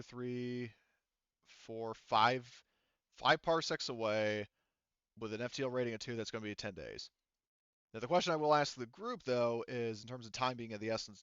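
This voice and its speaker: A man's voice: medium pitch, fairly bright tone, clean tone, no room sound, muffled recording. A man casually narrating a tabletop game as game master, explaining things in a rambling, informal way.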